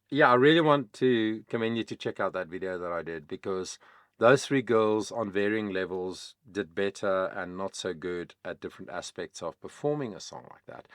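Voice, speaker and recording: Chatty Afrikaans-speaking man, low voice. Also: no echo, studio quality. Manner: chatty, explanatory, many "um" fillers. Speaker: vocal coach, channel host. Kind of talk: casual reaction-video talk.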